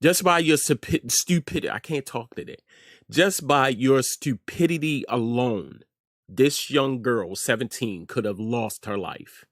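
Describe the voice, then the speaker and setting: A middle-aged man with an American accent talks straight to his audience as the host of an informal commentary show. His voice sits at a medium pitch and he drives points home by repeating phrases with emphasis. The sound is dry, with little trace of the room. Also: measured pace, fairly bright tone, no room sound.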